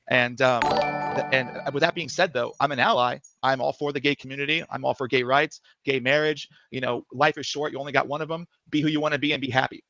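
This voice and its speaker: A man with a soft, higher voice who argues in an animated, defensive way, stumbling into restarts. He talks quickly with a bright, tense edge. He comes through a dry, slightly muffled call line. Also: vocal fry, no room sound. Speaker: guest. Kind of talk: heated casual debate on a livestream.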